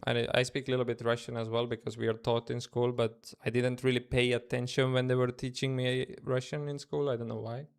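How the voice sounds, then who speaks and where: A man's voice: medium pitch, flat delivery, brisk, few pauses, smooth. A man with an Estonian accent, a native Estonian speaker, chatting casually in loose English on a live trading stream from his room, thinking aloud and answering chat. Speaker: day trader streaming live.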